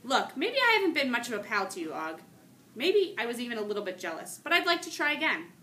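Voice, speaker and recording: Woman voicing a plucky, indignant little storybook hamster, bright-toned. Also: on the high side, very animated, fast. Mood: earnest, nervous. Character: small plucky, indignant classroom pet hamster. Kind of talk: children's storybook character dialogue. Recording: noticeable background room noise.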